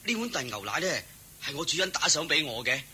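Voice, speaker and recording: An eager, simple-hearted cowherd in a Hong Kong Cantonese radio play. He is humble and exclamatory, with a highish, very bright voice that swings around a lot. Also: big pitch swings, brisk, slight background noise, exaggerated voice. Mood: eager, generous. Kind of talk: radio drama acting.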